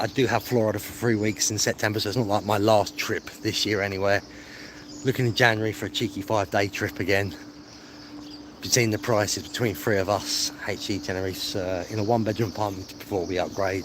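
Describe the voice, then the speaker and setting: A man chatting casually to a live stream, rambling and matey, with a self-deprecating way of talking. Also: on the low side. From England. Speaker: livestreaming travel vlogger. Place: outdoors on streets, walking. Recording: clear outdoor street noise, traffic.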